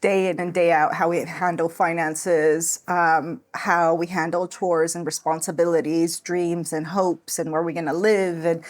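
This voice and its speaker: American woman, slightly low voice, explaining informally. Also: level tone, unhurried, hardly any pauses. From United States. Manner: informal, frequent "like" and "sort of". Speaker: therapist and relationship consultant, interview guest. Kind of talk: conversational interview answers, explaining.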